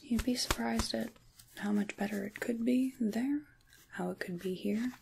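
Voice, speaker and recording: A woman playing an ancient, kindly witch in a soft-spoken ASMR role-play, with breathy, whispery speech and a musing, wry, gently reassuring manner. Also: on the high side, normal speed, noisy background. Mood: soothing, reflective.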